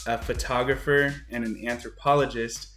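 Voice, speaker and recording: A man's voice: mid-range voice, flat delivery, moderate pace, fairly bright tone, reverberant, faint music behind. A man hosting a podcast, introducing his guest and asking questions in a loose, conversational way with fillers and restarts. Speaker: podcast host. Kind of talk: casual podcast interview.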